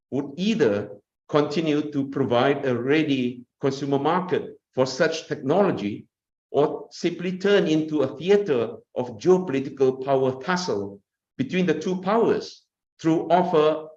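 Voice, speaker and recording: Male conference speaker, measured oratory, roomy lo-fi audio. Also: fairly high pitch, darkish tone. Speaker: conference speaker giving welcome address. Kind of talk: formal read speech. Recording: echoey, dull webinar audio.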